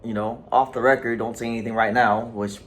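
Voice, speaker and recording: A man hosting a casual boxing talk show, talking in an animated way with frequent restarts and repeated words. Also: medium pitch, fairly quick. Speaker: boxing channel host.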